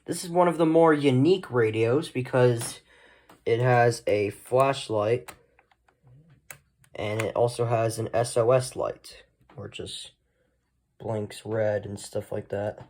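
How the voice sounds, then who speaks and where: A man hosts a casual hobbyist video in an unscripted way. His talk rambles along at a middling pitch, often trailing off into short asides. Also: steady tone, takes it slowly, long pauses, a little mellow, a little hiss or hum. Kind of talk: casual unscripted show-and-tell.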